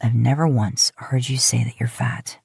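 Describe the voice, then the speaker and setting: Woman voicing a low, earnest young man. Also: steady tone, normal speed, gravelly, darkish tone, breathy edge, no room sound, clean studio sound, full character voice. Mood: firm, baffled. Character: gruff, earnest young man, protective and teasing. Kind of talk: acted dialogue in audio fan fiction.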